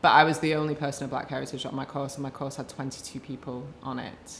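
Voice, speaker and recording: A woman with a notably low voice hosts a relaxed podcast conversation. She talks in a loose, chatty way, laughing easily and tossing in wry, self-mocking asides. A faint background hiss sits under her voice. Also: quick pace. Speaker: podcast host. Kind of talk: casual conversational interview.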